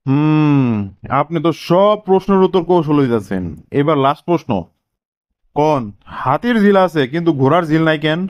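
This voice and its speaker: Man acting a higher-pitched cartoon job interviewer, Dhaka accent. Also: normal speed, clean tone, dry recording, lo-fi sound, lightly acted. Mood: testing. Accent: Bangladeshi Bengali, colloquial Dhaka. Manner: terse, questioning. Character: male boss quizzing applicant with trick questions. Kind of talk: comic cartoon dialogue.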